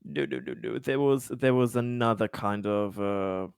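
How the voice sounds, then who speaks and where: A man improvising as a player in a tabletop roleplaying game, halting and hesitant, often stammering over repeated words. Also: mid-range voice, vocal fry, clean tone, no echo, studio quality.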